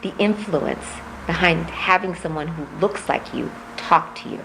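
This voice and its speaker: A middle-aged woman from Puerto Rico, raised in Missouri, answering interview questions as a political candidate, measured and reflective with frequent pauses. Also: on the low side, gravelly, noisy background.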